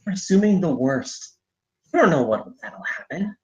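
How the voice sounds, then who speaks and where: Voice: medium pitch, sing-song, moderate pace, a little mellow, lo-fi sound, close to an everyday voice. A performer reading the part of a determined, stubborn young orphaned drone racer at a screenplay table read, speaking insistently. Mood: determined, defensive.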